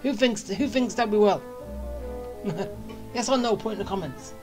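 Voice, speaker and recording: A woman's voice: medium pitch, moderate pace. A woman giving casual, chatty gameplay commentary, musing aloud as she plays. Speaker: game streamer. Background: game music.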